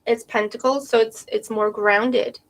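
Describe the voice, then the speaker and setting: A woman's voice: a little high, fairly even intonation, measured pace. A woman giving a live tarot reading to viewers, losing her voice with a cold, thinking aloud and often checking in with "right?". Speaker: tarot reader.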